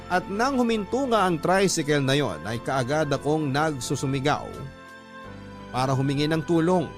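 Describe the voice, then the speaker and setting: A man narrating a listener's letter in first person, in a steady, storytelling manner. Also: on the high side, moderate pace. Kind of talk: scripted narration. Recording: music bed behind narration.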